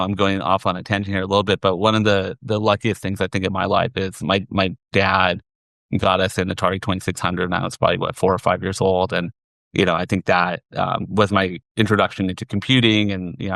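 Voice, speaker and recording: A middle-aged man with an American accent, giving measured, explanatory answers as an interview guest. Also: deep voice, level tone, vocal fry, a bit bright, dry recording. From southern California, USA. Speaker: interview guest, finance executive.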